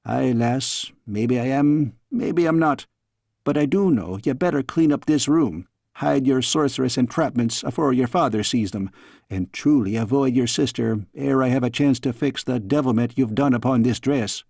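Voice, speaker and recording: A man's voice: medium pitch, normal speed, vocal fry, a little mellow, no room sound, studio quality. A man voicing a kindly older Scottish maid in a storybook, chiding fondly in homely dialect. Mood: playful scolding. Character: kindly scolding older Scottish maid woman, folksy and affectionate. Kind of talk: storybook character voice.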